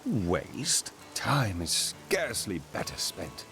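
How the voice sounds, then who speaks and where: A man's voice: low voice, huge pitch swings, slow pace, pushed and bright, soft background music, exaggerated voice. An actor playing a flippant, roguish ladies' man in a fantasy video game. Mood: playful, evasive. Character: roguish, flippant womanizing male adventurer.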